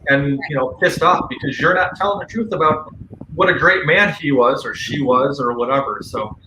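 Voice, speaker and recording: Bright forceful male voice, slightly high, earnest opinionated guest, casual talk show chat, echoey room. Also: normal speed. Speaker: guest on a paranormal radio show. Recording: roomy echo, faint background noise.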